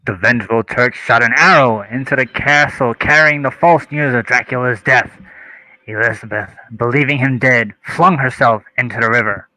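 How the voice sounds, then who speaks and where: A man's voice: medium pitch, normal speed, sharp tone, dry recording, rough recording. A man narrating scenes and stage directions in an amateur script read-through.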